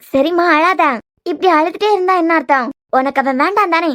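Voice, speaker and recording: A woman's voice: very high voice, fairly quick, fairly bright tone. An actress playing a motherly middle-aged aunty in a TV serial, consoling a crying girl in a firm voice. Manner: firm, consoling, scolding lightly. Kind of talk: TV serial acting.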